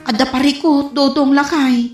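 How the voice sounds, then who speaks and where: A woman's voice: high-pitched, fairly even intonation, normal speed, a little mellow, soft background music, natural voice. A woman playing a flustered, defensive wife and dutiful daughter in a radio comedy drama, with an Ilocano accent. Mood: worried. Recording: echoey, faint background noise.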